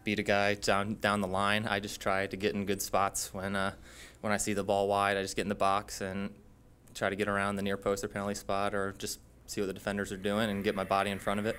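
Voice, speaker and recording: Man, young adult, player, low voice, even delivery, table microphone. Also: brisk, a little hiss or hum. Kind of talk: postgame interview. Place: press room at a table.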